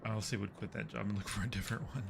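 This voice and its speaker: A man's voice: on the low side, steady tone, rapid-fire, creaky. Male game streamer, playful, very noisy background. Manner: playful, joking asides to viewers. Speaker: streamer host. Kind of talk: casual live game commentary.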